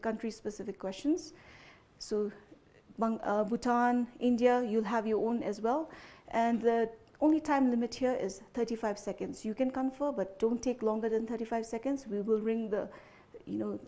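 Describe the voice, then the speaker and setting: A young adult woman hosts a quiz, laying out the rounds and scoring for the teams. Her voice sits a little high. She talks casually, sprinkling in "you know" and stopping to ask whether everyone is clear. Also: fairly even intonation, normal speed, dark tone. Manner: chatty, frequent "you know" fillers, checks understanding with "okay?". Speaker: quizmaster. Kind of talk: explaining quiz rules to contestants.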